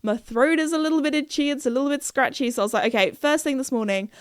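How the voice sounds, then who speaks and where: Young woman hosting her podcast, rambling and chatty, a little croaky from a cold, with a higher-than-average voice. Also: fast, dry recording, studio quality. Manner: rambling, jumps between topics, many fillers. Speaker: podcast host. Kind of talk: casual solo podcast chat.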